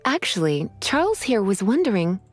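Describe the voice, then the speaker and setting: A woman's voice: medium pitch, very animated, normal speed, faint music behind, lightly acted. A woman voicing an elegant, sultry witch in a video game, with a silky, teasing drawl. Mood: languid, teasing. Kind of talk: animated video game voice acting.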